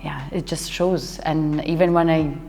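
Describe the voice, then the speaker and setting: A young woman, a dancer and choreographer, chatting as an interview guest and often pausing to search for words. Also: deep voice, fairly even intonation, dark tone. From Italy. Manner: searching for words, restarts sentences, laughs often. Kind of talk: casual interview talk. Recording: hall reverb with faint music behind.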